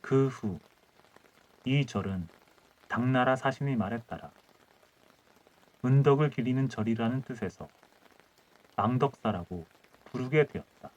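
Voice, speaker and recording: A man's voice: mid-range voice, a little slow, pauses often, darkish tone, low-quality recording. A man narrating a scripted historical folktale as an audiobook storyteller.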